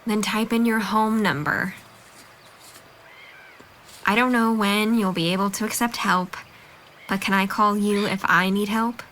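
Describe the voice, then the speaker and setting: Female actor for candid young girlfriend in a drama, medium pitch, direct and composed, faint noise behind. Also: steady tone, unhurried, long pauses, fairly bright tone, lightly acted. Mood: concerned, bittersweet. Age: young adult. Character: candid, level-headed young woman being broken up with. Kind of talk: naturalistic dramatic dialogue.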